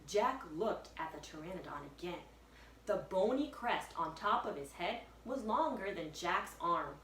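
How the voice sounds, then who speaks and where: A woman, a first-grade teacher, reading aloud to young pupils with expressive, suspenseful storytelling. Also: on the low side, a little slow. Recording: echoey room, distant mic, faint noise.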